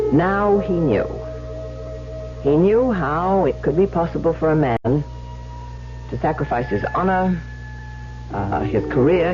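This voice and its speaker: An actress playing a defensive, high-strung woman writer in a vintage radio drama, emotional and sharp-tongued. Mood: brooding, dictating. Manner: emotional, sharp-tongued, halting under pressure. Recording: muffled old radio broadcast with hiss and hum.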